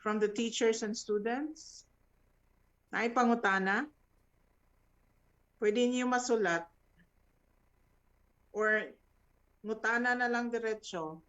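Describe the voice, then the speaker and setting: A woman's voice: a little high, slow, pauses often, a bit bright. A woman with a Filipino accent and Cebuano as her native language, briefing a meeting informally in an instructive way, often adding little checking tags. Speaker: program coordinator leading an online meeting. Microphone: video call. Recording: dull, lo-fi video call audio.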